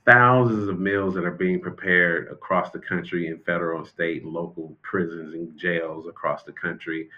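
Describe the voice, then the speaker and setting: An American man speaking as an expert interview guest and former prison official, explaining things in a measured, conversational way. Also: low-pitched, dull audio. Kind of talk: unscripted interview commentary.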